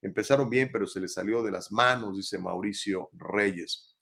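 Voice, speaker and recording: Hombre de voz algo grave, acento mexicano. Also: male voice, on the low side, normal speed, vocal fry, fairly bright tone. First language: Spanish. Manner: casual, chatty, reads comments aloud and answers them. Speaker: talk show host. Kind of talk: live call-in talk show, reading viewer comments.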